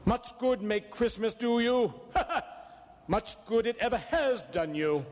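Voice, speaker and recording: A man's voice: very high-pitched, lively intonation, slow pace, exaggerated voice. An actor playing a miserly, bitter old businessman in a live radio drama, grumbling and sneering with emphatic scolding. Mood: scornful, irritable. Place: performing arts center stage. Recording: echoey theater hall, live radio broadcast.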